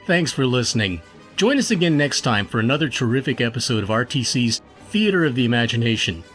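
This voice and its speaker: A man's voice: mid-range voice, very animated, a little fast, fairly bright tone. A man announcing in the scripted style of a radio show sign-off. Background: faint music.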